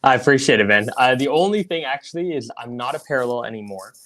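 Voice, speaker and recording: A man's voice: medium pitch, animated, quick pace, fairly bright tone, a little hiss or hum. A man in his twenties from Singapore, a guest on a podcast, talking in an easygoing, conversational way. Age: 20s. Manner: easygoing, conversational, uses "like" and "you know". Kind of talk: casual interview.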